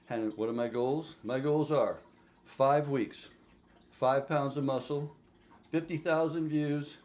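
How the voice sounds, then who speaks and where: A man's voice: medium pitch, a little mellow. A man of sixty vlogging to camera, blunt and profane, often checking in with "okay?", his voice picked up by the camera mic at home. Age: 60s. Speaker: fitness vlogger. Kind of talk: casual vlog to camera.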